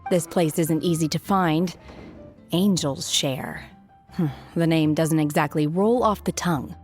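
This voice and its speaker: Aloof mountain adept, game cutscene, lowish voice, very slow, calm, detached, literal. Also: female voice. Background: soft background game music.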